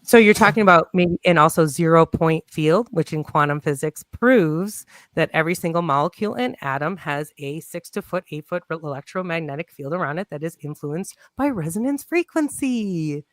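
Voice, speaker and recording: A man's voice: on the high side, animated, moderate pace, hardly any pauses, fairly bright tone, no room sound. A man speaking as a guest on a casual video-call panel, rambling and upbeat with plenty of fillers. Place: home room on video call.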